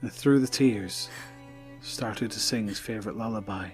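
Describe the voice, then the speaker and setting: A man narrating an audio drama in a solemn, deliberate, dramatic scripted style. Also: lowish voice. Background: music.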